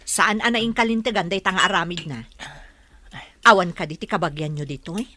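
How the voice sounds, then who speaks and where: A woman's voice: fairly low pitch, a little fast, pushed and bright, a little hiss or hum. A woman playing a kindly, nosy village neighbour with an Ilocano accent in a radio drama. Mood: curious.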